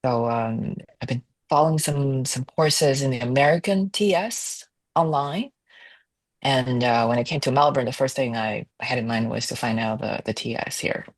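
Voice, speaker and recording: A woman speaks politely and at a measured pace. Her voice sounds dry and close to the mic, with no room around it. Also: rumbling low, no room sound. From China. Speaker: debate panelist. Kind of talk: casual self-introduction.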